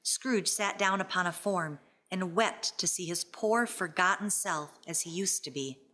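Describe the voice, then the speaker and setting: Bright, forceful woman narrator. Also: lowish voice, unhurried. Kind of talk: scripted storybook narration for a radio play.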